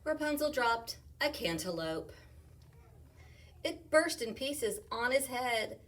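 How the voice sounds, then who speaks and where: Animated woman reading a storybook aloud. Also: on the high side, takes it slowly, distant mic. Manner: animated, asks the children questions, sometimes whispers. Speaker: storytime reader, teacher. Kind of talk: children's picture-book read-aloud.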